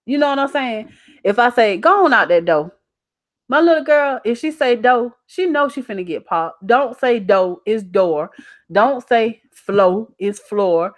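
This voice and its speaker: A woman's voice: a little high, lively intonation, unhurried, dry recording, clean studio sound. A young adult woman with a Southern US accent, talking casually as a channel host in a folksy, emphatic way on a livestream. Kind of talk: casual livestream chat reading out viewer comments.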